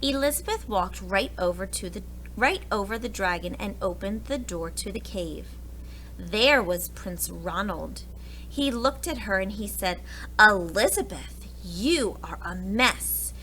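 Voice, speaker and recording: A woman teacher reading a storybook aloud to young children in an animated style. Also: mid-range voice, a little slow, a little hiss or hum, low-quality recording.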